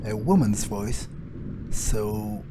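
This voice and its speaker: A man's voice: on the low side, extremely slow, background noise. A man doing casual, foul-mouthed in-character game commentary, muttering his thoughts aloud as he plays. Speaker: gaming streamer, let's player. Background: video game music.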